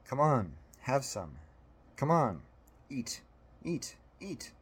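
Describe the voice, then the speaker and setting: A man's voice: medium pitch, big pitch swings, slow pace, a bit bright, breathy edge, heavily acted. A man voicing a creepy undead little boy, coaxing and falsely kind, in a horror story reading. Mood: eager coaxing. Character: creepy decayed undead little boy selling concession snacks.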